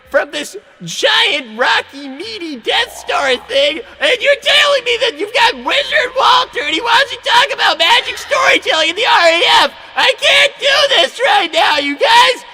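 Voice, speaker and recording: Young cartoon fox, very high piercing bright voice, high-strung, stammering, exasperated, music behind. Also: male voice, heavily acted. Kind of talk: improvised in-character comedy livestream.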